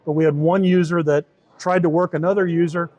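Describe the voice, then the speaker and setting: A man with a somewhat high voice walks through a software tool in an informal demo. He explains it conversationally, often repeating and rewording his points as he goes. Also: fairly even intonation, a little fast. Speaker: software team member demonstrating a beta tool. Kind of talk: informal product demo in an interview.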